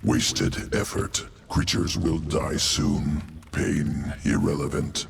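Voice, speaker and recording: A man voicing a cold, clinical alien insectoid evolution master in a video game, with a guttural creature rasp and clipped, telegraphic, detached speech. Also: very deep voice, slight background noise, full character voice. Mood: cold, dismissive. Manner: clipped telegraphic sentences without articles, detached and deliberate. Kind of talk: video game character acting. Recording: music behind the voice.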